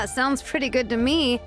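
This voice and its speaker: A woman's voice: sits high, fairly bright tone. A woman playing a laid-back, sleepy young craftswoman in a video game, casual, teasing and grumbly.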